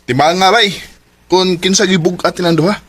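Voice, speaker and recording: An actor with a Cebuano Filipino accent playing a frank, questioning young best friend in a radio drama. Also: male voice, high-pitched, brisk. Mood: probing. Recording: loud music behind voice.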